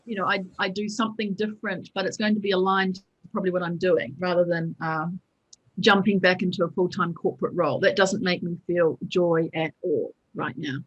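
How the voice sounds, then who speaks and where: A woman's voice: on the low side, steady tone, brisk, slightly rough, dull audio. A woman entrepreneur answering interview questions on a video call in a reflective way, restarting her sentences as she thinks. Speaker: entrepreneur, former lawyer.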